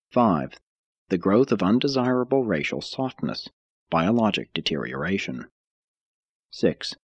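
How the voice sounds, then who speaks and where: Male narrator, lowish husky voice, widely varied intonation, deliberate formal audiobook reading. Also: no echo.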